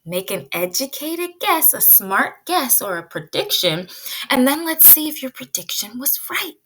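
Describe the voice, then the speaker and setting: A woman pre-K teacher giving an expressive read-aloud lesson, drawing out key words and putting guiding questions to young listeners. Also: high-pitched, breathy edge, rough recording. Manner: drawn-out emphasis on key words.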